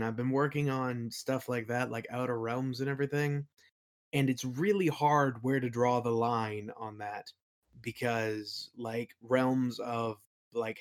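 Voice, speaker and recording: A man speaking as an interview guest, explaining things in a casual, reflective way. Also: mid-range voice. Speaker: interview guest, game world builder.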